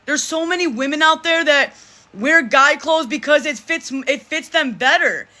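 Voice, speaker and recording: A vlogger in their thirties talks straight to camera in a casual monologue. They say they have a deep voice, and they speak emphatically, often repeating and restarting phrases. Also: moderate pace, bright, forceful tone. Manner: speaks in a self-described deep voice.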